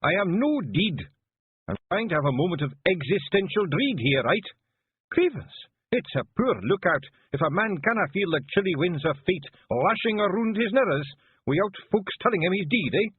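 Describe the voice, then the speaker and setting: A man voicing a small, brawling, brooding fairy clan chief with a broad Scottish accent and a gruff, blustering delivery. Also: high voice, big pitch swings, normal speed, slight background noise, pitched above the performer's own voice. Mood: indignant. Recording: phone-like narrow band.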